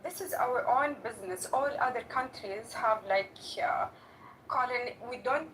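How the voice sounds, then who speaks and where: A woman's voice: on the high side, a little slow, a bit bright. A woman whose first language is Arabic, speaking accented English in a heated casual debate, repeating herself insistently and saying b for p. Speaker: debate participant on a live call. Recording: distant mic with faint noise.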